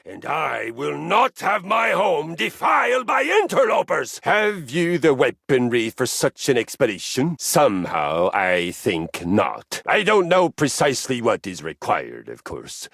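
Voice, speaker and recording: Viking exercise machine, gravelly, wildly swinging pitch, slow deliberate delivery, dry studio sound, grandiose game character. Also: male voice, huge pitch swings, a bit bright, no room sound, full character voice. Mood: threatening. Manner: grandiose, archaic and theatrical, bellowing. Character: boisterous Viking-minded male exercise machine, violence-loving. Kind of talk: game voice lines. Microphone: close studio mic.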